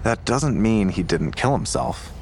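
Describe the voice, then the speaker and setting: An actor playing a seasoned, weary, skeptical police detective in an audio drama, terse and measured. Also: male voice, lowish voice, expressive, creaky, darkish tone, a little hiss or hum. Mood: measured, businesslike.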